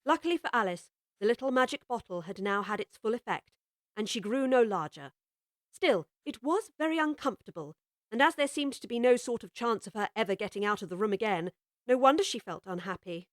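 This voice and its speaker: A woman narrating a classic children's story in a measured, gently expressive way. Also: a little high, no room sound, studio quality.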